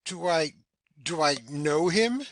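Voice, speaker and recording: An actor playing a blustering, self-important middle-aged family friend in an audio stage satire, with a pompous, indignant way of talking. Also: male voice, on the high side, expressive, slow pace, a bit bright, no echo, clean studio sound, full character voice. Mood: flustered, stupefied.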